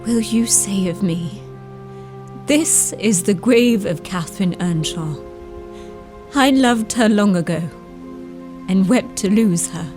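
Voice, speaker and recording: A woman's voice: mid-range voice, slow pace, pauses often, close to an everyday voice. A woman playing a passionate, dying young woman in a melodramatic audio drama, pleading and yearning. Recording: dramatic music behind the voice. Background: dramatic music.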